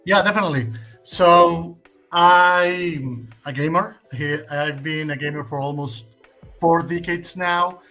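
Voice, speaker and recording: A Mexican man with a Spanish accent answers as a guest on a livestream, thinking aloud with plenty of fillers. His voice sits a bit high for a man and has a bright, forceful edge. Faint music plays behind him. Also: slow pace. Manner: opinionated, hesitant with frequent fillers. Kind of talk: casual stream Q&A.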